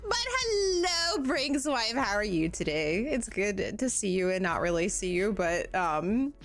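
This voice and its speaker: A woman's voice: mid-range voice, very animated, unhurried, fairly bright tone. A woman streaming a game, chatting casually with her viewers in a bubbly, giggly, self-deprecating way, sounding tired.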